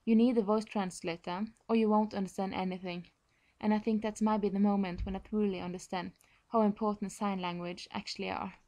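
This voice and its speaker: A woman's voice: mid-range voice, little pitch movement, a little fast, warm tone. Norwegian-accented woman giving a presentation. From Norway. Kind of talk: prepared informational presentation.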